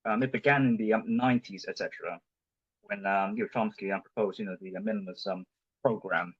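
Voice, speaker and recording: A low, husky male voice, a native Chinese speaker, lecturing in a halting way full of "um" and "you know". The sound is dry and close, with a dull, lo-fi video-call quality. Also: fairly even intonation, dry recording. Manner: halting, heavy with fillers. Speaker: conference presenter. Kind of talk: academic lecture.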